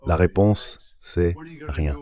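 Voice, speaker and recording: Low pitched ai man voice, dubbed interview guest talking politics. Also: extremely slow, darkish tone. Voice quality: synthetic AI dubbing voice.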